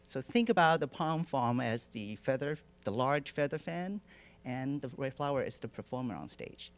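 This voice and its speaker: A middle-aged man from Taiwan speaks, mid-pitched and easygoing. He pauses often with fillers and slips in self-deprecating jokes. He talks into a handheld mic in a lecture hall. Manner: relaxed, hesitant with many fillers, self-deprecating humor. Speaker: artist giving a lecture. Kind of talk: lecture presentation. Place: lecture hall with projection screen. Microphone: handheld microphone.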